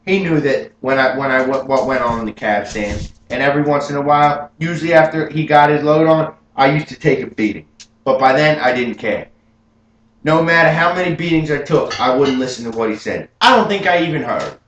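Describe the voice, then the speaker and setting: A young man playing an adult gangster who proudly recalls his youth, telling it earnestly and rising with excitement, as a memorized film monologue. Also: fairly high pitch, a little fast, pitched above the performer's own voice, close to an everyday voice. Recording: roomy room with faint hum.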